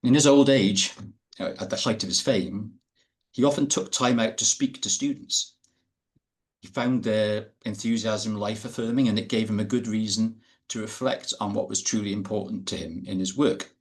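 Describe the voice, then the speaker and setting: A middle-aged man, an architect, giving a talk over a video call, measured and a little hesitant before reading from a prepared text. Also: lowish voice. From Wales.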